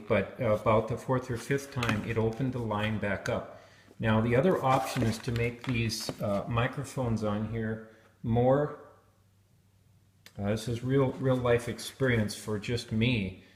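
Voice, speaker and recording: A man's voice: medium pitch, a little slow, noisy background. A man giving an unscripted hands-on gear demonstration, explaining things step by step. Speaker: product reviewer demonstrating helmet intercoms. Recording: roomy, echoing sound.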